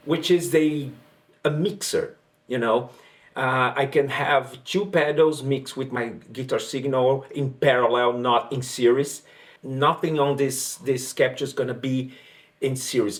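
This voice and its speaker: A man from Brazil with a Brazilian accent and Portuguese as his first language, chatting casually as he explains his guitar rig. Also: mid-range voice. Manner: relaxed and chatty, with frequent fillers and restarts. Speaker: guitarist demoing gear. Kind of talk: casual gear demo talk.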